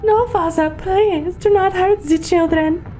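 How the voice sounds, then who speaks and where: A woman's voice: very high-pitched, a little slow, music in the background. A woman playing a compassionate, pleading young daughter of a villain in a melodramatic motion comic. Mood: pleading, distressed.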